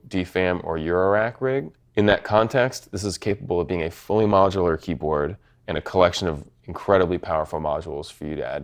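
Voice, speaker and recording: A man giving a methodical, matter-of-fact product demonstration as a presenter. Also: low-pitched. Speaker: product presenter from a synth maker. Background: synthesizer tones.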